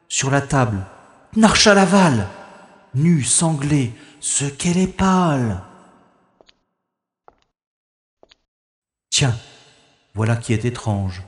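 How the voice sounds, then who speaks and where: A man's voice: mid-range voice, husky, dry recording. A man playing a wry, cocky secret agent. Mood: curious. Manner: dry, ironic, nonchalant. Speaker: narrator-hero. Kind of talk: audio drama acting.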